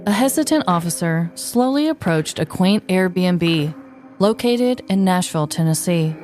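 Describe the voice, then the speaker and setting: Young woman, lively swooping pitch, playful comedic podcast host, exaggerated delivery. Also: unhurried, soft background music. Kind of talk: casual comedic banter and dramatized true-crime storytelling.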